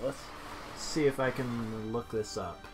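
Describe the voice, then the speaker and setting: Guy doing laid back game commentary, kinda halting and musing, average pitch, game music in back. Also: fairly even intonation. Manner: halting, musing, reads on-screen text aloud. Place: indoor room at home, seated.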